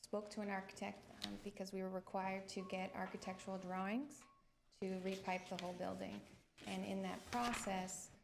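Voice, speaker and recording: A woman applying for a grant, speaking softly and hesitantly at a public meeting microphone, with many pauses and fillers. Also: medium pitch, flat delivery, vocal fry, noisy background. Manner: soft-spoken, hesitant, many ums. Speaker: grant applicant. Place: city council chamber.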